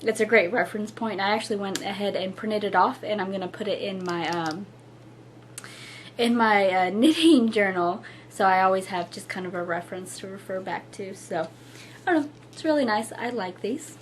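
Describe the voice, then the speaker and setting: Young woman, hoarse and husky, mid-range voice, casual chatty host talking to camera. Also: mid-range voice, normal speed, slight background noise. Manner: chatty, apologetic, with frequent fillers and little laughs. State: hoarse, losing her voice. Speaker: knitting podcast host.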